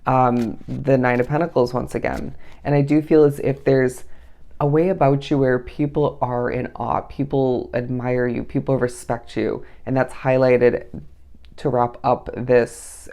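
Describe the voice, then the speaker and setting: A tarot reader giving a casual daily reading, with a raspy, tired voice in the small hours, musing aloud and often checking in with the listener. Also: medium pitch, level tone, moderate pace, dark tone. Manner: meandering, musing, often asks the listener "okay?". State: tired, up at three in the morning.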